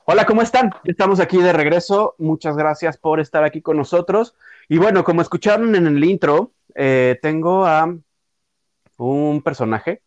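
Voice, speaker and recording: A man in his late thirties with a Mexican accent, hosting a relaxed interview podcast in a chatty, slangy way. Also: a little high, normal speed, no room sound, lo-fi sound. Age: late 30s. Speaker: podcast host. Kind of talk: casual interview chat.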